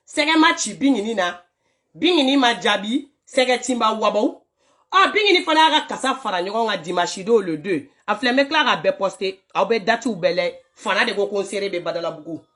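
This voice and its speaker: A woman commenting casually on voice notes for her followers, animated and scolding, often laughing as she talks. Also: mid-range voice, normal speed. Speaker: social media commentator.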